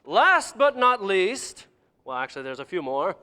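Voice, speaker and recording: A man's voice: high-pitched, big pitch swings, a little slow, sharp tone, smooth, full character voice. A man playing a brash 1940s radio host. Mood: showy. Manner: showy, emcee patter. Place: theater stage. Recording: echoey hall with crowd.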